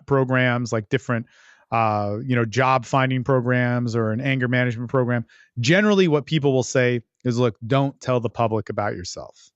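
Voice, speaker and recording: A man's voice: medium pitch, dry recording, dull audio. Blunt man coaching on a dry podcast. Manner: blunt, profane, emphatic. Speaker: podcast host and dating coach. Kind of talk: casual, unscripted advice monologue.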